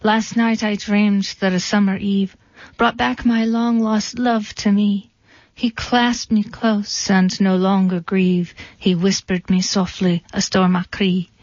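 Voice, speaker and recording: A woman voicing a sad young maiden who longs for her absent love, in a soft, plaintive tone. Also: mid-range voice, level tone, slow pace, no echo, natural voice. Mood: mournful. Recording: slightly dull lo-fi recording.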